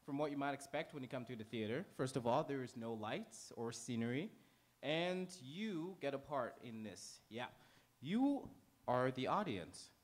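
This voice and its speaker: Male pre-show host, medium pitch, informal announcements to a live auditorium, unpolished and conversational delivery. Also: big pitch swings, moderate pace. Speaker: host warming up a live theater audience. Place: on stage in an auditorium before a live audience.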